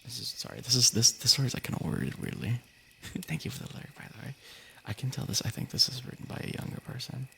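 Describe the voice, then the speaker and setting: Male streamer, mid-pitched with heavy vocal fry, reading stories informally, slight background noise. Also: very animated, fast talker, fairly bright tone. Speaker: livestream host reading stories. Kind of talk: casual story reading on a livestream.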